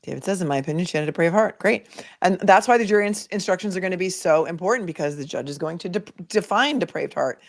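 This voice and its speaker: A woman's voice: on the low side, expressive, quick pace. A woman with a Boston accent hosting a legal livestream, chatting casually and thinking aloud as she answers viewer comments. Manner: conversational, opinionated, thinking aloud.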